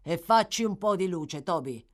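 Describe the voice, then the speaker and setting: A woman voicing a gruff, impatient male thief in an audiobook, giving curt, menacing commands. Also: lowish voice, lively intonation, normal speed, lightly acted. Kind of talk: audiobook character voice.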